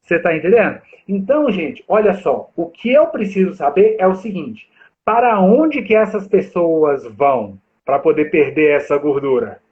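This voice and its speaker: A man in his forties from Brazil, a guest instructor talking animatedly and straight to viewers on a video call. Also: fairly high pitch. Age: 40s. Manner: animated, addresses viewers directly. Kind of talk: live chat with audience. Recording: tinny phone-like line.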